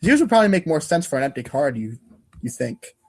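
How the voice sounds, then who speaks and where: Higher-voiced man, theatrical wry narrator. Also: big pitch swings, fast, breathy edge. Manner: theatrical, wry, stumbles over long words. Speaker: narrator of a comedic reader's theater podcast. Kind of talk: dramatic reading with second-person narration.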